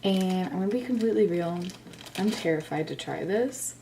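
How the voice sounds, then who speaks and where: Woman, twenties, beauty vlogger, slightly hoarse, warm low voice, chatty, bedroom close-up camera. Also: expressive, moderate pace, slight background noise. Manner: chatty, self-deprecating, lots of "like". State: slightly hoarse from being sick. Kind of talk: casual makeup first-impressions chat. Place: bedroom, indoors.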